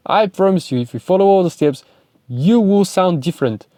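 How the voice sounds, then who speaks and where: A man's voice: sits high, expressive, moderate pace, mellow, no room sound, studio quality. A young man with a Bulgarian accent, giving an emphatic, repetitive motivational talk straight to camera. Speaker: self-improvement vlogger and speaking coach.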